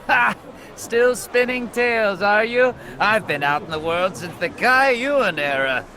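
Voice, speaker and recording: An actor playing a scornful, blustering, world-weary old drinker in a video game. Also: male voice, high-pitched, lively intonation, takes it slowly, pushed and bright, some chatter behind, heavily acted. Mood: scoffing, boastful. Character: scoffing, world-weary male teahouse regular, old drinker. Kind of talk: dramatic video game dialogue. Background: music.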